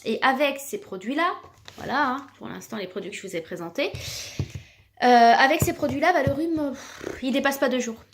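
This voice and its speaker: A woman's voice: sits high, normal speed, a little hiss or hum. A woman with children, chatting casually while demonstrating a cream she sells, full of fillers and repeated words. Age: adult with children. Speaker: product seller vlogger.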